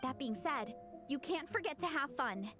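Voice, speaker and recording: Game role: encouraging, food-loving young female athlete, high-pitched, enthusiastic, music behind. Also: expressive, normal speed. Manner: upbeat, earnest, enthusiastic. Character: peppy, encouraging young woman athlete who loves food and comics. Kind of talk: video game character acting.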